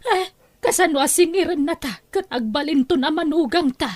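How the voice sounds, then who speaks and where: A woman plays a scheming mother in an Ilocano radio drama. Her voice is high and expressive, full of exclamations, and recorded dry and close. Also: normal speed, no echo, natural voice. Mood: persuading. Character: scheming mother of a grown son, cheerful then scandalized.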